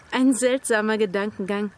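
An actress playing a reserved, earnest young gentlewoman in a radio drama, speaking with restraint and some hesitation. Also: medium pitch, slight background noise, close to an everyday voice. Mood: surprised, warming. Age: young adult. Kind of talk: radio drama dialogue, period literary adaptation.

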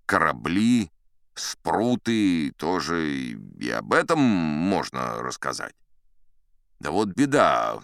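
A man's voice: deep voice, a bit bright, no echo, studio quality, exaggerated voice. An actor playing a boastful old sea captain who spins yarns in a pompous, chatty, theatrical storytelling manner, savouring his words. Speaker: audiobook actor narrating in character. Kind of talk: theatrical storytelling in an audio play.

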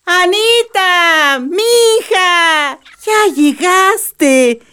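An actress playing a joyful, welcoming elderly grandmother in a radio drama, exclaiming in drawn-out words. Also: very high voice, animated, pushed and bright, full character voice. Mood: overjoyed. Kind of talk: Spanish-language radio drama acting.

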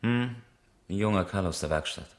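A man's voice: low voice, normal speed, natural voice. A man acting as an engineer and amateur rally driver in a 1970s German radio crime drama, flippant and defensive in manner. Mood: weary, evasive.

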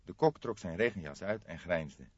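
A man's voice: low voice, lively intonation, moderate pace, slightly rough, no room sound, lo-fi sound. A man reading scripted literary narration as an audiobook narrator.

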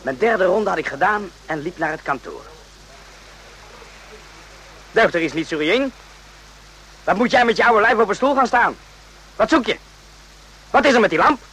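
High, lively brash army sergeant. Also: male voice, moderate pace, long pauses, low-quality recording. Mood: reflective. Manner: colloquial, blunt questioning. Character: brash, excitable army sergeant on night watch. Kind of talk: radio drama acting.

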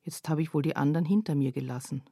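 A woman playing a pensive first-person narrator in a literary radio play, speaking in a measured, reflective way. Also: deep voice, dark tone, husky, no echo, studio quality. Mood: pensive, uneasy.

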